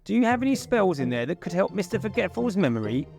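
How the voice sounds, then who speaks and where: A man's voice: sits high, sing-song, fast, gravelly, darkish tone, soft background music, voice pushed up, exaggerated voice. A man voicing a cheerful, helpful little male character in a children's storybook.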